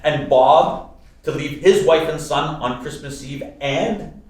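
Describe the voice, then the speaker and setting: An actor playing a warm-hearted, exasperated nephew in a radio play, speaking in an earnest, wry, articulate way. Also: male voice, a little high, slow pace. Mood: earnest, hurt. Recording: echoey room, distant mic.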